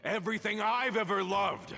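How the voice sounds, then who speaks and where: A male actor voicing a bitter, vengeful villain in a video game, dramatic and accusing, moving between low menace and outbursts. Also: normal speed, creaky, bright, forceful tone, heavily acted. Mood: scornful fury. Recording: music under the dialogue.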